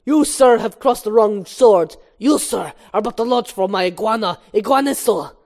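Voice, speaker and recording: Lady doing a feisty cartoon bee guy, pitched up n loud, bossy and accusing, kinda dramatic. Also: animated, normal speed, close to an everyday voice. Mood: confrontational. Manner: declamatory, confrontational. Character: small, feisty, accusing male honeybee, bold hero. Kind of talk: animated film script read-through.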